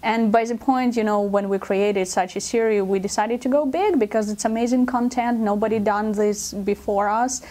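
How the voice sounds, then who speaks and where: A woman's voice: fairly high pitch, fairly even intonation, normal speed, hardly any pauses, mellow. A woman from Russia with a Russian accent, talking as a company executive in a promotional interview, often repeating words and leaning on filler phrases. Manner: hesitant phrasing with frequent "you know" and word repetitions. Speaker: company business development executive being interviewed.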